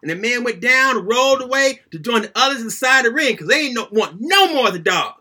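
A man performs a first-person story aloud in a high, husky voice. His pitch swings widely as he tells it. The delivery is conversational and colloquial, with a dramatic storyteller's animation. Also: lively intonation, brisk, bright, forceful tone.

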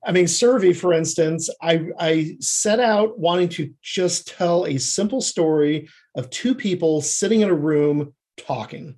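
A man with a fairly high, rough-edged voice speaks as a guest in a casual podcast interview. He talks conversationally about his craft as a screenwriter and director. He is prone to chuckling as he talks. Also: unhurried, hardly any pauses, a little mellow. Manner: chuckles often while talking.

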